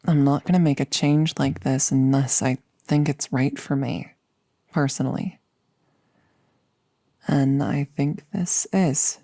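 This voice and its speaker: A woman's voice: a bass voice, steady tone, slow, pauses often, mellow, no room sound, heavily acted. A woman playing a nervous but resolute girlfriend in an intimate ASMR audio roleplay, speaking in a hesitant, rambling, earnest way. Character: nervous, resolute woman about to become a vampire.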